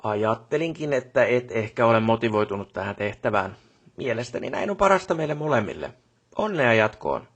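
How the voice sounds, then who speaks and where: A corporate-minded male boss from a video game. His voice is husky and slightly rough, and he hands out brisk, matter-of-fact instructions like a businesslike manager. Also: medium pitch, sing-song, dull audio. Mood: stern, dismissive. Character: male job boss at a charger-packing company, businesslike corporate striver. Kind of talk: video game character dialogue.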